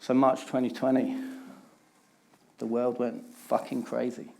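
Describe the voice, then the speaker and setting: A man's voice: medium pitch, completely flat delivery, measured pace, vocal fry, a little mellow. A middle-aged man, giving a candid personal talk on stage and stressing points through repetition. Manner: candid, emphatic storytelling with repeated phrases. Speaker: conference speaker.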